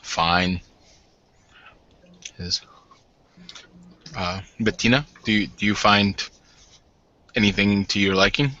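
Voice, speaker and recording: A man playing a nervous newlywed husband in a tabletop game, polite and wryly formal. His halting voice is very sharp and bright, on a somewhat muffled recording. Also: fairly low pitch.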